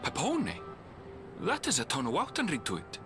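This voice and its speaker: A man's voice: fairly high pitch, huge pitch swings, normal speed, over music. An actor playing an earnest young traveler in a video game, polite and eager in his speech. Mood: curious, hopeful. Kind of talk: scripted video game cutscene acting.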